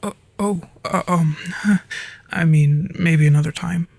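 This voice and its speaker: Performer of unclear sex as a soft, creaky-voiced dragon storyteller. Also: mid-range voice, steady tone, slow pace, dry recording. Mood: flustered, shy. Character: flirty, easily flustered dragon storyteller. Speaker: storyteller in an audio roleplay. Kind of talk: ASMR roleplay, soft storytelling.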